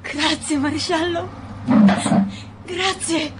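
An actress playing a distraught, wronged young working girl in an Italian radio drama, speaking in a halting, tearful way. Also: very high-pitched, steady tone, heavy background noise. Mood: tearful relief.

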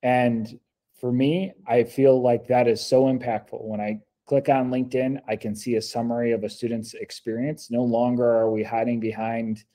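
An American man in his forties giving earnest, measured testimonial answers as a school coordinator, on a video call from an office meeting room. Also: mid-range voice, flat delivery, dark tone. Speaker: school district program coordinator. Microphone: laptop video call.

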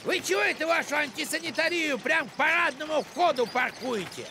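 An actor playing a fussy, officious middle-aged village postman in a cartoon, with pompous bureaucratic phrasing and a creaky voice. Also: extremely high pitch, animated, fairly quick, noisy background. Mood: smug. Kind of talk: cartoon voice acting.

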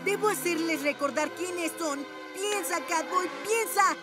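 Earnest high-voiced boy superhero. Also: measured pace. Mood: determined. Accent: Latin American Spanish. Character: earnest boy superhero in cat suit, takes charge. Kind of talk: animated children's cartoon dub. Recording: loud music under the voice.

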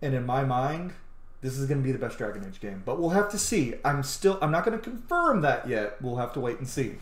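A man's voice: mid-range voice, sing-song, brisk. Opinionated man gaming in a roomy space. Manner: enthusiastic, opinionated, swears freely. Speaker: gaming let's player. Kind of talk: casual game commentary. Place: small room at home, indoors. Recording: roomy home recording under game audio. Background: game music.

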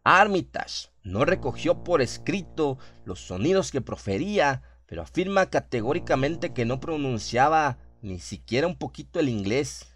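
Male narrator for horror radio fiction, mid-range voice, deliberate phrasing, music bed underneath. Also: medium pitch, sing-song, fairly bright tone. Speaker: narrator of a horror audio drama. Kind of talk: scripted horror story narration.

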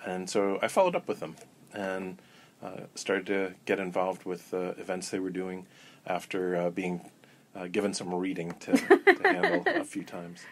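A man guest in an interview, talking in a relaxed, rambling way with many ums and restarts. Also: low voice, normal speed, slight background noise. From Florida. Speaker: interview guest, coven elder. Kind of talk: casual storytelling interview.

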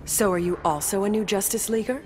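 A self-assured superheroine from a video game acted by a woman. She is poised and teasing, mid-pitched, with music playing behind her. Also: fairly quick, darkish tone, lightly acted. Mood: confident, teasing. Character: self-assured female superhero who channels animals. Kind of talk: video game character acting.

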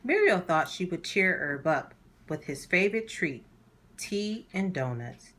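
A woman hosting a children's read-aloud, narrating a storybook in a deliberate, animated way for young listeners. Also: on the low side.

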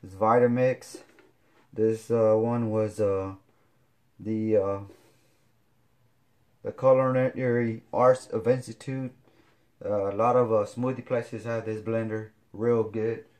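Man, 40s, lowish voice, halting and filler-heavy, casual home kitchen demo. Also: fairly even intonation, darkish tone. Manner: halting, many fillers and restarts. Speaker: fitness vlogger. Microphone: camera on counter.

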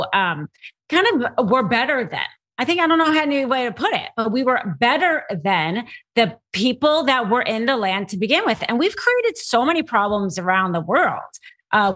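Lively, higher-pitched American woman commentator. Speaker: news show panelist. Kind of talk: conversational news commentary. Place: home room, indoors.

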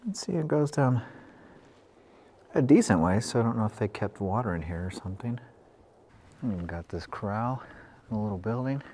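A man's voice: fairly low pitch, normal speed, long pauses, slight background noise. A man casually describing an old airport site as he walks around it outdoors. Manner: relaxed, pointing things out as he walks. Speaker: travel vlogger. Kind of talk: casual exploring commentary.

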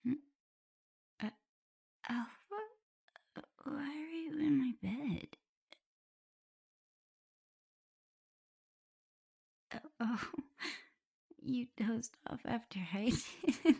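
A woman playing a caring, flustered young omega werewolf in an intimate ASMR roleplay, soft and often breathy and whispery, fussing as she talks. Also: a little high, takes it slowly, long pauses, full character voice. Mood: sleepy, surprised. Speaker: audio roleplay performer.

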